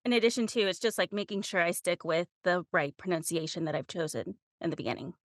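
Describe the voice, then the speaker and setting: A woman's voice: fairly low pitch, fast talker, no room sound, studio quality. Youthful-sounding woman, casual and giggly. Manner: giggly, informal. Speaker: audiobook narrator, podcast guest. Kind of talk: casual conversational interview.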